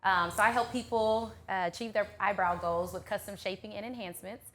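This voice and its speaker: A woman's voice: medium pitch, a little fast, a bit bright. A woman panelist giving a casual introduction about her work as a business owner.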